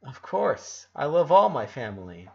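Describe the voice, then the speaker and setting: Man voicing a gentle, expressive young boy. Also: mid-range voice, a little slow, creaky, muffled recording. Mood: tender. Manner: soft-spoken, sometimes cooing baby talk. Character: gentle, tender eleven-year-old boy. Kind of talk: storybook character dialogue.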